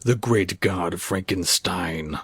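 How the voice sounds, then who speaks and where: A man playing an uneasy scientist who made a monster, earnest and halting, in a radio horror drama. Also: low-pitched, creaky, slightly rough, no room sound, studio quality, heavily acted. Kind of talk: old-time radio horror acting.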